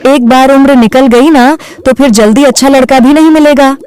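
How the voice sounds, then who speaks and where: A woman's voice: high voice, fairly even intonation, moderate pace, a little mellow, slight background noise, lightly acted. A woman playing a worried middle-aged Indian mother in a cartoon, urging insistently. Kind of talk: Hindi moral-story cartoon acting.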